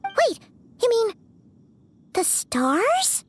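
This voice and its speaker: A woman voicing a tiny, childlike fairy companion in a video game, squeaky, bubbly and very expressive. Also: very slow, background noise, exaggerated voice. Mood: puzzled, earnest. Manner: bubbly, expressive, speaks of herself in third person. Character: tiny childlike floating fairy companion, excitable, nervous, chatty. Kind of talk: scripted game dialogue.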